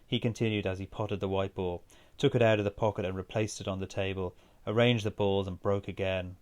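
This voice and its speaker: A man's voice: low voice, little pitch movement, a little fast. A man reading his own literary short story as scripted narration.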